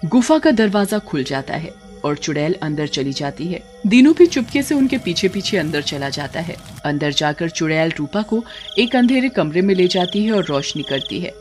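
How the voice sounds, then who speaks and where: A woman's voice: fairly low pitch, very animated, moderate pace, a little mellow. A woman narrator reading a scripted story in a dramatic storytelling style. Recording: music behind the voice.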